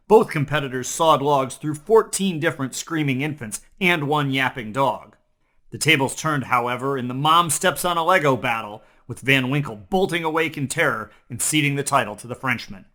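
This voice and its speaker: Bright, forceful man reading spoof news. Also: mid-range voice, moderate pace. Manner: mock-serious newscaster delivery. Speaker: comedy news host. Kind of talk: scripted parody news narration.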